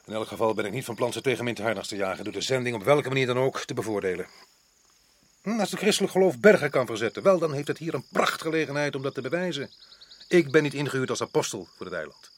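An actor playing a stubborn, self-assured colonial official in a radio play, with a dismissive, opinionated manner. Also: male voice, mid-range voice, animated, fast talker, close to an everyday voice. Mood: firm, self-assured. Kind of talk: radio drama acting in Dutch. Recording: noisy old radio play recording.

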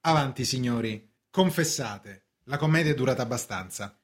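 An actor playing an indignant, bewildered bank clerk of thirty in a theatrical radio drama, protesting and questioning. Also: male voice, medium pitch, animated, moderate pace, natural voice. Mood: baffled protest.

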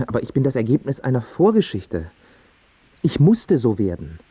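Earnest, husky young male artist. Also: mid-range voice, huge pitch swings, moderate pace, dark tone, dry recording, heavily acted. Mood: detached, evasive. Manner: argumentative, pretentious intellectual phrasing. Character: earnest, stubborn young male artist, evasive and self-absorbed. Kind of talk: German radio play acting.

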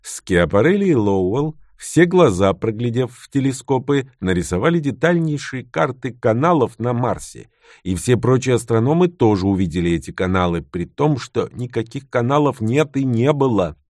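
A man reading a scripted self-help text, with lively, acted-out delivery of the quoted retorts. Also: mid-range voice, moderate pace, no echo, clean studio sound. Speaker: narrator. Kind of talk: scripted book narration.

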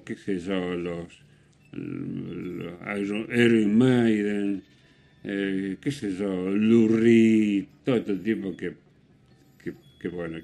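A man with an Argentine Spanish accent hosting a radio show, commenting casually on music with opinions in a rambling, halting way. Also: on the low side, a little mellow. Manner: rambling, hesitant with self-corrections and rhetorical questions. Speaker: radio host.

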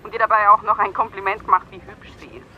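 A man playing a chatty care-home worker, high-voiced and quick. He comes through a lo-fi phone line with faint noise, in a radio play. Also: flat delivery, natural voice. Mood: brisk, helpful.

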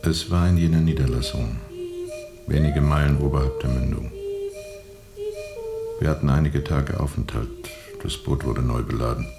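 A man acting a brooding, haunted steamboat captain in a radio drama, grave and measured, often sinking toward a whisper. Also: very deep voice, gravelly. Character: brooding, haunted steamboat captain recalling a colonial river journey.

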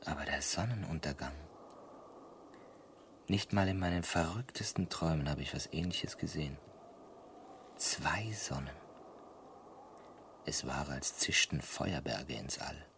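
A confused earnest guy in a radio play, low voice, slow and hesitant, faint noise behind. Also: vocal fry, heavily acted. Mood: dreamy, wistful. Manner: hesitant, soft-spoken, wondering. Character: bewildered, earnest ordinary man from Earth, easily startled. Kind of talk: German radio drama acting.

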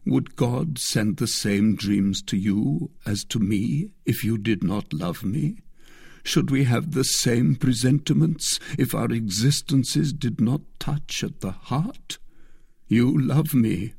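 A man's voice: mid-range voice, animated, slow, gravelly, a little mellow, slightly rough, no room sound, studio quality, full character voice. A man voicing an ardent lovestruck nobleman, declaiming passionately in a dramatic audiobook reading. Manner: impassioned, declamatory.

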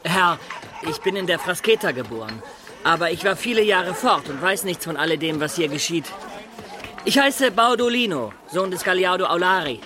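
A man's voice: sits high, animated, normal speed, crowd noise. An actor playing a cheeky, brash peasant's son returning from his travels, in a theatrical radio play style. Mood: respectful. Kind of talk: German radio play acting.

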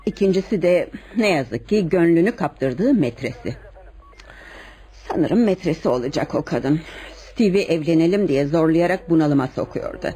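An actress playing a charming, poised young wife under suspicion, speaking deliberately and dramatically in a radio play. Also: female voice, deep voice, lively intonation, warm tone, slightly rough, exaggerated voice. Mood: pleading, wounded. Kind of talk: radio theatre acting.

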